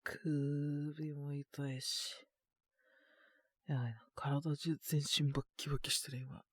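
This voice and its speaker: A man's voice: a little high, level tone, slow pace, dry recording, studio quality. A man playing a sick, feverish young boyfriend in an audio drama, exhausted and congested, muttering to himself between sighs. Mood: achy, grumbling. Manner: sighing, muttering to himself in fragments. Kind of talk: Japanese situation voice roleplay, audio drama.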